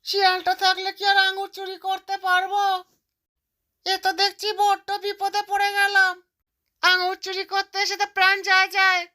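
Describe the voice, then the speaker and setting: A woman's voice: very high voice, monotone, unhurried, pushed and bright, heavily acted. A woman voicing a little cartoon bird with a childlike, chattering manner. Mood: worried. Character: greedy, stingy little mother bird and her chirpy chicks. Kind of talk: cartoon character voice.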